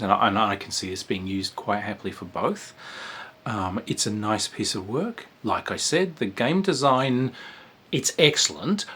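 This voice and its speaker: A man's voice: lowish voice, fairly bright tone, breathy edge. A man giving a casual spoken review of a tabletop game, rambling and hesitant, with frequent fillers and dry asides.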